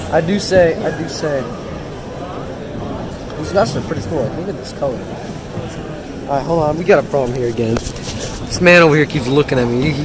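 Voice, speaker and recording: A young man vlogging outdoors on a campus, joking and mock-boasting in a casual, teasing way. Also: medium pitch. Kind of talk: casual joking vlog chat. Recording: outdoor phone recording with traffic noise. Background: street and traffic noise.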